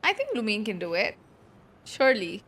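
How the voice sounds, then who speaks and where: A woman's voice: mid-range voice, huge pitch swings, normal speed, a bit bright. A woman streamer giving informal live reactions to a game, musing aloud as she watches. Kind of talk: casual live reaction commentary. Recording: faint game music behind.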